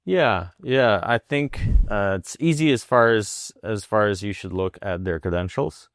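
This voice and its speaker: A man, a physician answering interview questions in a halting way, with many fillers and restarts. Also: on the low side, clean tone, no room sound, clean studio sound. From Russia. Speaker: wound care physician. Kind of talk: interview guest.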